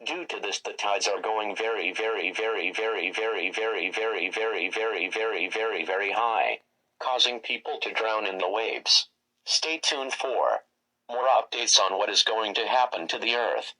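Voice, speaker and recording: A man's voice: fairly low pitch, moderate pace, bright, forceful tone, rough recording. A man reading scripted mock emergency broadcast announcements as an alert announcer. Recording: music behind the voice. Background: music.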